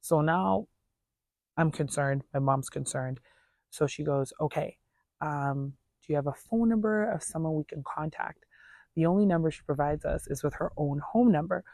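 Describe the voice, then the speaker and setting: A woman's voice: deep voice, animated, moderate pace, mellow, no room sound. A woman telling a personal story in a casual, earnest, conversational way, voicing reported dialogue as she goes. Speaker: storyteller vlogger.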